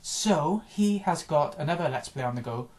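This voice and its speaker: A man chatting casually about video games, rambling and offhand, his voice a little hoarse after a day of recording. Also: a little high, lively intonation, normal speed, darkish tone, low-quality recording. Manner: rambling, offhand, frequent restarts and small chuckles. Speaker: gaming vlogger.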